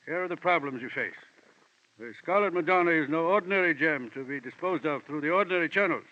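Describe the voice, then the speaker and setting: An actor playing a pompous, wordy, portly gentleman crook in an old-time radio detective drama, with florid, courteous, faintly menacing speech. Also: on the high side, animated, quick pace, close to an everyday voice. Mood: grandiose, ominous. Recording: dull vintage radio broadcast recording.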